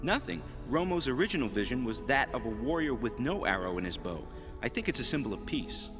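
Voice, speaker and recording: A man voicing a calm, scholarly cadet who explains things precisely in an animated sci-fi dub. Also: mid-range voice, brisk, close to an everyday voice. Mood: matter-of-fact. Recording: reverberant dub track with music underneath.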